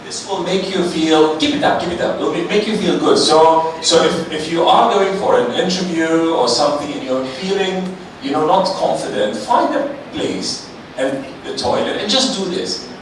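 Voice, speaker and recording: Middle-aged man, panel speaker, lively and coaxing, heard from a distance in a big echoey hall with noise. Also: fairly high pitch, normal speed. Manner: animated, coaxing the audience. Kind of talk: seminar talk leading an audience exercise. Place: large seminar hall.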